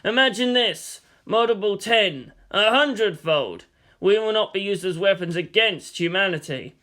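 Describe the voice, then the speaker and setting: A woman's voice: mid-range voice, lively intonation, a bit bright. A woman doing a grave old monk. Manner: solemn, measured. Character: calm old male monk, grave and measured.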